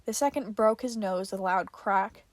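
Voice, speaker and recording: A woman's voice: mid-range voice, normal speed, no room sound. A woman reading fiction aloud as a podfic narrator, in a dramatic storytelling style.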